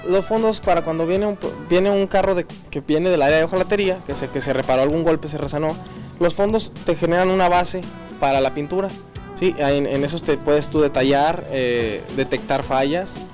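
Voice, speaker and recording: Halting Mexican man explaining, higher-pitched voice. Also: a little mellow, soft background music. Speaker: auto body paint technician. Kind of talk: informal hands-on explanation.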